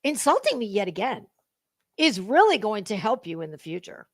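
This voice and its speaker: A woman's voice: mid-range voice, sing-song, normal speed. A woman hosting a gossip stream, giving a dramatic reading with sarcastic, mocking commentary in a theatrical, sassy way. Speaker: host of a gossip commentary livestream.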